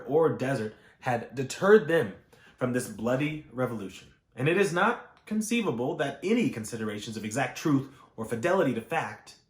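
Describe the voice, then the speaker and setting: Man reading history aloud, echoey room. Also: medium pitch. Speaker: audiobook reader. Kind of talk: read-aloud of scholarly history text.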